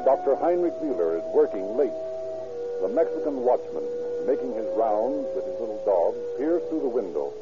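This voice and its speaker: A man reading scripted 1940s radio narration in a measured, dramatic way. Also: medium pitch, close to an everyday voice. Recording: dull old radio recording, a bit distant.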